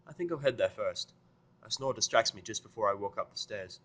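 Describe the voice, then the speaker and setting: A man narrates a first-person story with a sardonic, expressive delivery. His voice sits fairly low and has a very forceful, bright edge, like an amateur audiobook reader putting feeling into it. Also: brisk. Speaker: fan fiction reader and narrator.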